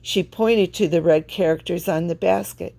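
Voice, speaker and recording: A woman reading a children's storybook aloud with expressive, deliberate phrasing. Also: on the low side, gravelly. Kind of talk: read-aloud storybook narration.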